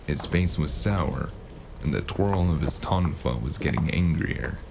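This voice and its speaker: A man playing a world-weary, wisecracking noir detective with a gravelly voice and a dry, sardonic, laconic delivery in an audio drama. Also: extremely low pitch, measured pace, full character voice. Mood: brooding narration. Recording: noisy sound bed.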